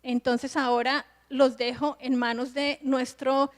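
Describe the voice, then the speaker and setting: A high-pitched woman in her forties speaks as a film director and screenwriting teacher on a festival panel. She explains step by step, often restarting mid-phrase as she thinks aloud. Her voice rings with the long reverb of a large hall. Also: flat delivery, takes it slowly.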